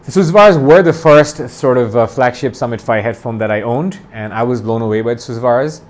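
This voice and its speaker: A man's voice: mid-range voice, brisk. A man in his forties from Bangladesh, talking through a review unscripted, enthusiastic and rambling, often restarting his phrases. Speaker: audio gear reviewer.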